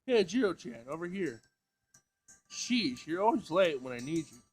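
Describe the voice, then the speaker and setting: A man voicing an impatient, bossy young woman who scolds her partner briskly. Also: on the high side, very animated, breathy edge. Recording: music behind the voice.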